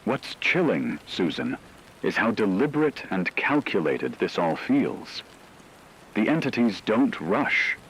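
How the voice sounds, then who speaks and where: A man playing a solemn radio news anchor, delivering a scripted emergency broadcast in a measured, ominous way. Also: lowish voice, big pitch swings, creaky, a bit bright, slight background noise, full character voice, rough recording. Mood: grave, ominous. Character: grave male radio news anchor reporting an apocalypse.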